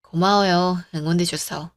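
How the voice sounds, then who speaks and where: A woman voicing a calm, firm young woman who argues in a reasoned way in a story radio drama. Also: fairly low pitch, moderate pace, no room sound, clean studio sound, pitched below the performer's own voice, lightly acted. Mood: gentle.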